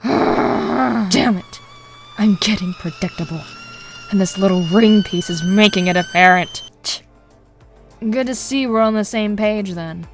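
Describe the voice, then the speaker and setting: Woman voicing menacing young clan fighter, sharp-tongued, slow deliberate delivery, scornful, visual novel drama, music behind. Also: mid-range voice, wildly swooping pitch. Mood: irritated, threatening. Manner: scornful, threatening, clipped.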